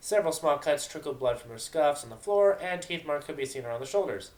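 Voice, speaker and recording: A man's voice: fairly high pitch, animated, fast, darkish tone. Man narrating a story in a roomy space. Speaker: narrator of a fan fiction dramatic reading. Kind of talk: amateur dramatic story narration with casual asides. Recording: roomy, echoing home recording.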